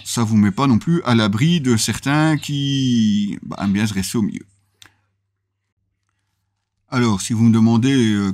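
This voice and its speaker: A man speaks as the host of a product review video. His voice sits at an average pitch. He explains features in a semi-scripted way, with small hesitant pauses inside his sentences. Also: darkish tone.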